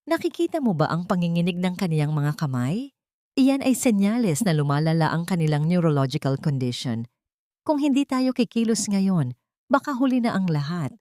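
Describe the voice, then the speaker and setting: A scheming lady doctor in an audio drama, acted out by a woman narrator, measured and falsely caring. The voice is mellow and lowish, recorded very dry. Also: big pitch swings, no echo. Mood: grave concern. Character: scheming woman doctor feigning gentle concern.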